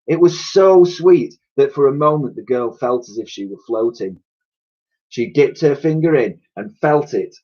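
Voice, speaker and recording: Male storybook reader for children, expressive narration, slightly muffled lo-fi sound. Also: medium pitch. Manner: expressive, acts out characters' dialogue. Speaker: teacher reading aloud to pupils.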